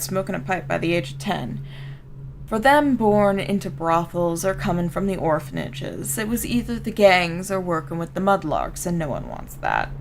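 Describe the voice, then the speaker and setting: A woman's voice: lowish voice, gravelly, a little hiss or hum. A woman voicing a folksy, streetwise street-gang member telling an old story, with a Southern US accent. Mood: reminiscing. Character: rough street-gang storyteller, plain-spoken old comrade of a boss. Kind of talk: dramatic first-person story reading.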